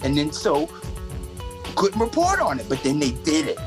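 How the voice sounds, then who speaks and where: A worked-up radio personality in an indignant mock announcement. The pitch swings widely and the delivery is emphatic. Music plays clearly behind the voice. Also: wildly swooping pitch, normal speed. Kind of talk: mock public service rant.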